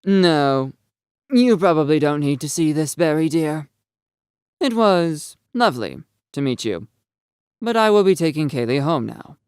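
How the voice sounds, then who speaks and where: A narrator voicing a stern, impatient, angry mother who scolds teenagers in a brisk, commanding way for an audio story. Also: medium pitch, expressive, smooth, no room sound, studio quality, pitched above the performer's own voice. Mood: firm, polite. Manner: brisk, commanding, sharp questioning.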